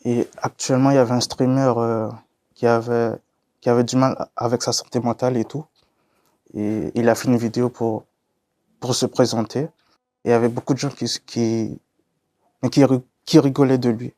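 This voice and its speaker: A man of twenty, a guest on a youth panel, speaking haltingly and repeating words. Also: medium pitch, little pitch movement, warm tone, no echo. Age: 20s. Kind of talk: casual discussion.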